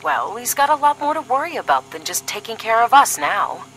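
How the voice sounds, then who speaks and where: Woman playing a bright-voiced, sardonic young agent. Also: high-pitched, sing-song, a little fast, creaky, slight background noise, heavily acted, low-quality recording. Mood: serious. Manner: dry, sarcastic, serious. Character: sardonic, duty-minded young female paramilitary agent, weary sister. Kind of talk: scripted audio drama dialogue.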